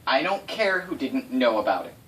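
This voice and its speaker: A man tells fantasy history off the cuff, with big swings in pitch and frequent swearing. His delivery is casual and dramatic, picked up from a distance in a noisy room. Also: moderate pace. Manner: sweary, animated, dramatic emphasis. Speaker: storyteller recounting game lore to players.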